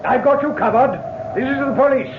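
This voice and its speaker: A man's voice: extremely high pitch, a little fast, a little hiss or hum. An actor playing a commanding policeman calling out orders in an old radio drama. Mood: urgent, commanding. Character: commanding policeman shouting orders during an arrest. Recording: echoey, tinny old radio recording.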